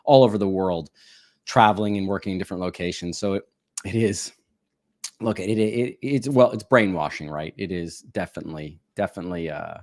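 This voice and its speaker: Brief: man, low voice, dry close sound, stumbling conversational delivery. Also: a little mellow, dry recording. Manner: halting, many restarts and repeated words. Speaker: podcast guest. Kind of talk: casual conversation.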